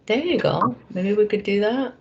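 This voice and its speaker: A woman's voice: medium pitch, normal speed, creaky, background noise. A woman informally interviewing a guest over a video call, as the host of the conversation. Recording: dull, lo-fi online call audio.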